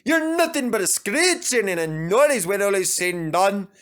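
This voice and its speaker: A man's voice: high voice, animated, moderate pace, a bit bright, voice pushed up. A man voicing a loyal, hot-tempered Scottish twin steam engine with a blunt, scolding way of talking. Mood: angry scolding.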